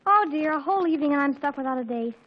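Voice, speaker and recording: High, fast-talking, dramatic teenage girl. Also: lively intonation. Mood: fretful. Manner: earnest, flustered, affectedly grown-up wording. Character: dramatic, fretful teenage daughter, boy-crazy and earnest. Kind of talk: 1940s radio sitcom acting. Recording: muffled, noisy 1940s radio recording.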